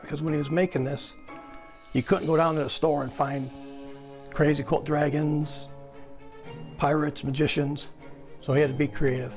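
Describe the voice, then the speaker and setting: A man's voice: a little high, darkish tone. A man in his fifties casually reminiscing as an interviewee. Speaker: interviewee, collector's son.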